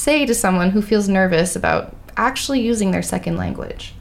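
Female host, English Canadian accent, casual and animated, laughs a lot. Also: medium pitch, fairly quick, vocal fry. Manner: laughs often, animated storytelling. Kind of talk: casual interview chat.

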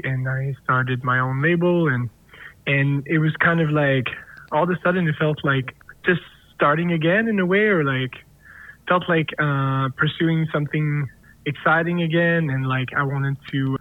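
A singer-songwriter whose first language is French, answering interview questions in a rambling way, full of fillers and restarts. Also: male voice, on the high side, no echo. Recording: dry tinny phone line.

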